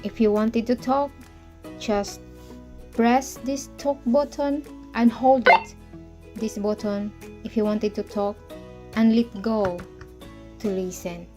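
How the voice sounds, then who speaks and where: A woman presenting a product demonstration for an online video in halting, non-native English phrasing. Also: fairly high pitch, fairly even intonation, mellow. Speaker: product reviewer and parent presenting to camera. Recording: music playing behind the voice.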